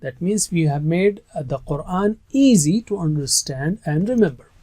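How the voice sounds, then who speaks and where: A man's voice: on the high side, animated, normal speed, mellow, no room sound, clean studio sound. A man whose first language is Urdu, teaching a recorded lesson on Quranic Arabic in an earnest, emphatic way that stresses key words.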